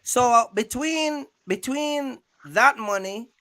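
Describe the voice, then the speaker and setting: A man's voice: very high voice, slow, sharp tone. A man hosting a casual interview in a rambling, repetitive way, using streetwise slang and checking in often with his listener. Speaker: YouTube channel host interviewing a guest. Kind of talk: casual interview chat about trucking.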